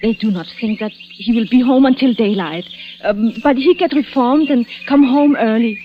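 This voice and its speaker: Woman acting coy young french lady, french accent, high voice, questioning, muffled old radio drama. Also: normal speed, close to an everyday voice. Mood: knowing.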